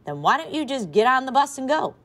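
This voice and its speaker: A woman's voice: high-pitched, big pitch swings, brisk, voice pushed up, heavily acted. A woman reading aloud voices a worried schoolgirl, anxious and raised. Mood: yelling. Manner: anxious, then yelling.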